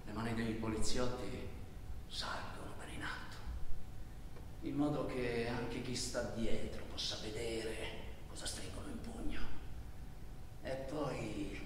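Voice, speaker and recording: Male stage narrator, slow and dramatic, hushed to declaiming, distant in a very reverberant hall. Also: medium pitch, steady tone, noisy background. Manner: dramatic, swinging from hushed delivery to loud declaimed cries. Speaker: stage actor. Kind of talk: theatrical monologue narration of a travel account. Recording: echoey hall, distant mic, music behind. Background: music.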